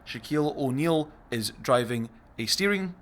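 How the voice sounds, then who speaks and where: A man's voice: mid-range voice, normal speed, over a noisy crowd. A man hosting a podcast party game in a playful, casual, bantering way. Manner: playful, animated. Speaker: podcast host and game presenter.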